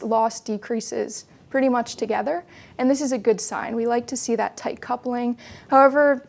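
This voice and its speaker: Young female student presenter, highish pitch, strong fry, clear-cut explanatory delivery. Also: darkish tone. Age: young adult.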